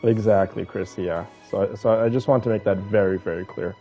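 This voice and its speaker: A man's voice: on the low side, fairly even intonation, a little fast, mellow. A man chatting casually to his live stream viewers, reacting to a game with emphatic, repetitive phrasing. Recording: muffled lo-fi mic. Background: game music.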